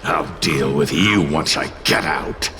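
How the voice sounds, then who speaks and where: An actor playing a scornful, blustering demon spirit in a video game, with a gruff, mocking manner. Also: male voice, extremely low pitch, slow, pushed and bright, slight background noise, exaggerated voice. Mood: gruff, contemptuous. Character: brash, scornful male demon spirit bound to a timid girl. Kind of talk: video game character acting.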